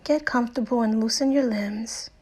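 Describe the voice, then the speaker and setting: A woman narrating a guided meditation in a soothing, unhurried way with deliberate pauses and soft, breathy phrase endings. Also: fairly high pitch. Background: music.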